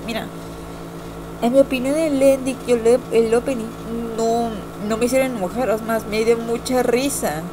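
A young woman giving a casual opinion vlog in a rambling, hesitant way, often repeating herself. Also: on the high side. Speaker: amateur video reviewer. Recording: noisy amateur home computer recording.

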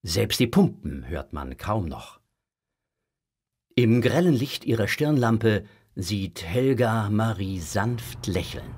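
Expressive male storyteller, lively pitch. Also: takes it slowly, breathy edge. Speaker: narrator. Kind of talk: dramatic scripted narration for a children's audio story.

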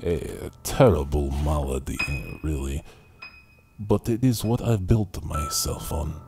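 An actor playing a courtly, eerie old grandfather and occult scholar in an audio drama, speaking in a gravelly, formal, savoring manner. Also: extremely low pitch, lively intonation, slow pace, full character voice. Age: older. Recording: loud music behind.

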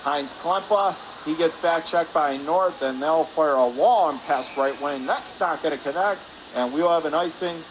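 A man doing live radio play-by-play hockey commentary in rapid, descriptive calls. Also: on the high side. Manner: rapid descriptive calls, excited on scoring chances. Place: press area above rink in an indoor arena. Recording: noisy ice arena, steady background din.

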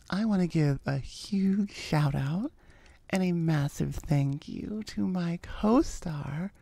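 Woman, channel host, informal, husky, mellow, lowish pitch. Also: no room sound. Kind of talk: informal outro to listeners.

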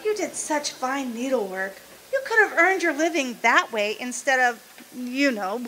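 A woman's voice: high voice, animated, measured pace, fairly bright tone, soft background music, low-quality recording. A woman playing a stern, judgmental, prim mother who scolds, in a radio drama. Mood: scolding.

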